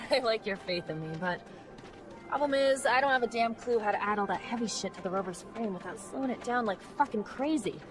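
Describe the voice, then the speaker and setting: A woman's voice: a little high, animated, brisk, over music, close to an everyday voice. A woman playing a wry, salty-tongued rover mechanic in a game cutscene, talking casually. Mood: casual, doubtful. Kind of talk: video game cutscene acting.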